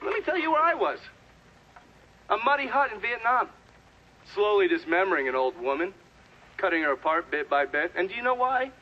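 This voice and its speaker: A man's voice: sits high, very animated, a little fast, pauses often, lo-fi sound. An American man acting a hard, bitter, middle-aged Vietnam veteran giving confrontational, profane testimony in a game cutscene. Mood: menacing, taunting. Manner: profane, confrontational, storytelling at length. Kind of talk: dramatic game cutscene monologue.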